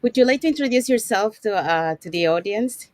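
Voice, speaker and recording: A woman's voice: medium pitch, animated, a little fast, a little mellow, no echo, studio quality. A woman from Panama hosting an online business talk show, introducing people with frequent "um" fillers. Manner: many "um" fillers, friendly introductions. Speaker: host.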